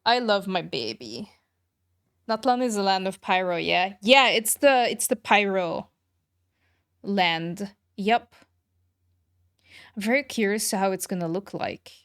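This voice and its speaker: A woman's voice: mid-range voice, animated, a little slow, long pauses, a bit bright, clear, resonant tone. A woman chatting casually with her viewers as she streams a game, informal and reactive to their comments. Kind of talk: casual livestream chat with viewers. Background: music behind the voice.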